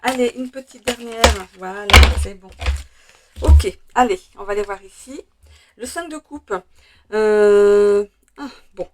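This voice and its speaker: A French woman with a slightly hoarse voice, chatting through a tarot card reading with many hesitations and fillers. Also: medium pitch, a little slow, dark tone, slight background noise. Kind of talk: casual weekly tarot reading.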